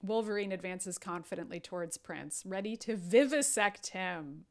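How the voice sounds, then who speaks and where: A woman's voice: medium pitch, normal speed. A woman hosting a live table read, narrating stage directions dramatically and dropping in wry, playful asides. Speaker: podcast host reading stage directions.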